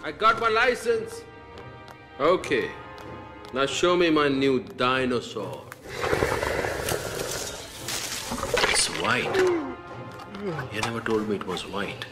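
An actor playing a genial, eager and wealthy park owner in an animated game cutscene. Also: male voice, medium pitch, slow, pauses often. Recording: loud music behind the voice.

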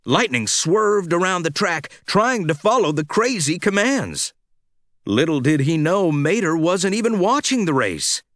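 Animated, unhurried male children's storyteller. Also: high-pitched, dry recording, clean studio sound. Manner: dramatic, expressive delivery for children. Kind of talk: scripted read-along storytelling.

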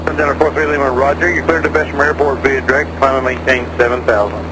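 A man's voice: medium pitch, fairly even intonation, fast. A man working as an air traffic controller, giving clipped, procedural instructions over aviation radio. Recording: radio heard over aircraft engine noise.

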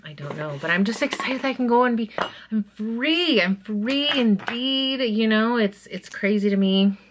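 A woman's voice: on the high side, moderate pace, a little hiss or hum. Chatty woman vlogging through her makeup. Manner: chatty and excitable, trailing into soft asides. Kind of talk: casual chat while doing makeup. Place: small bedroom at home, indoors. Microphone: camera close in front of her.

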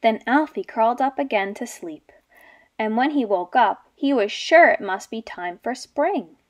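High, animated young woman teaching small children. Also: unhurried. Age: young adult. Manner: expressive, addressing small children with questions. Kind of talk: story read-aloud and lesson for young children.

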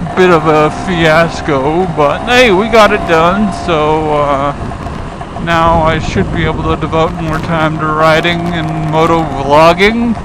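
A man with a fairly high voice rambles easily while riding his scooter through town. He talks in a loose, unhurried way, full of "uh" and "you know". Road, wind and engine noise sit clearly under his voice from the helmet-mounted mic. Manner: chatty, laid-back, lots of "uh" and "you know". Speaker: motovlogger. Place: outdoors on a scooter in city traffic.

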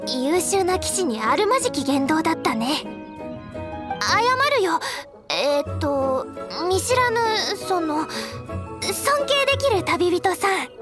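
A woman playing a spirited, dutiful young female knight scout in an anime game, brisk and earnest. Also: very high-pitched, very animated, heavily acted. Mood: apologetic, awkward. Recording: music under the dialogue.

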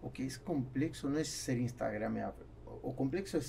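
A man in his forties, a native Spanish speaker with a South American accent, talking haltingly as a guest in an interview. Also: medium pitch, vocal fry, warm tone, a little hiss or hum. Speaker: business owner, podcast guest. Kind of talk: casual interview chat. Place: small foam-treated podcast studio. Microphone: podcast mic on boom arm.

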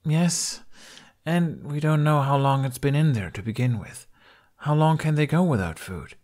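A man's voice: a little high, moderate pace, darkish tone, pitched above the performer's own voice, close to an everyday voice. A man voicing a young warrior woman who is blunt and wary but tender underneath, in an audiobook. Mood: wary, questioning.

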